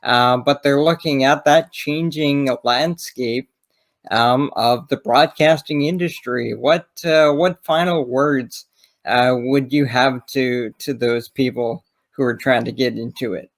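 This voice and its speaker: A man's voice: medium pitch, steady tone, slow. A man hosting an interview, putting questions to his guest in a conversational way. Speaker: interview host.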